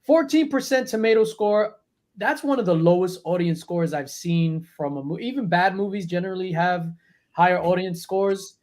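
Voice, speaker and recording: High-voiced joking man hosting a panel. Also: moderate pace, darkish tone. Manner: profane, jokey, reads viewer comments. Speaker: podcast host. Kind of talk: casual panel chat.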